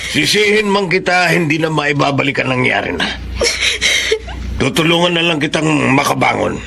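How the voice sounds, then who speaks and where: A man voicing a gentle older father and grandfather who reassures his daughter in an audio drama. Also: fairly high pitch, lively intonation, normal speed, creaky, exaggerated voice. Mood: gentle reassuring.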